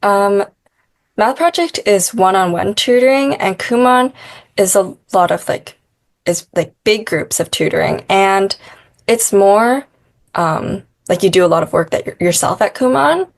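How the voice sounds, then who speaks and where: A woman's voice: mid-range voice, expressive, a little slow. A young woman math tutor answering interview questions in a casual, chatty way, sprinkling in lots of "like" and "um". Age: young adult. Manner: chatty, many "like" fillers.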